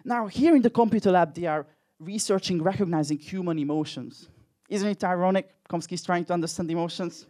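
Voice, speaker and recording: A man's voice: sits high, brisk, mellow. A young man with a Hungarian accent doing a dry, self-mocking stand-up comedy set with crude jokes. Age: young adult.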